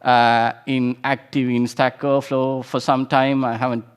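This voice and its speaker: A man's voice: medium pitch, flat delivery, measured pace, clear, resonant tone. A man in his thirties from Sri Lanka, giving an informal conference talk with frequent restarts and fillers. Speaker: conference speaker.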